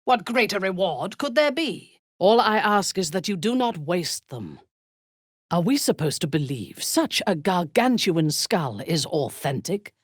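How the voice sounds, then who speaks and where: Disdainful female warrior cleric, husky, slow and deliberate, widely swooping pitch, cruel, imperious, fantasy game. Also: big pitch swings, no echo, studio quality, full character voice. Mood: low intimacy. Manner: commanding, haughty, deliberate, theatrical. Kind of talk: fantasy video game voice acting.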